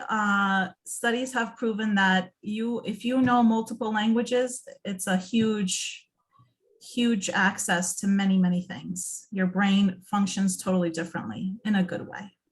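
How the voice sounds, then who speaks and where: A woman moderating a panel, chatting casually with quick asides. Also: mid-range voice, a bit bright.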